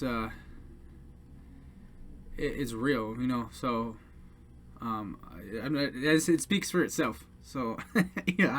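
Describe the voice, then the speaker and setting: A man's voice: medium pitch, fairly bright tone, slight background noise. A man casually talking to his audience as a musician, hesitant and earnest, with many fillers and restarts. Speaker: independent musician presenting his songs. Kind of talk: casual talk to camera.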